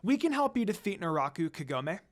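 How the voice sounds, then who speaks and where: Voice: mid-range voice, very animated, fairly quick, lightly acted. A storyteller voicing a sweet, loyal little girl who argues earnestly in a fan fiction reading. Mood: eager.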